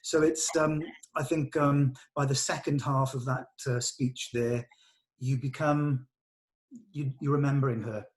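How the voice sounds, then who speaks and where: A man's voice: mid-range voice, steady tone, unhurried. A man directing a read-through, giving actors encouraging notes in conversation and often rephrasing as he goes.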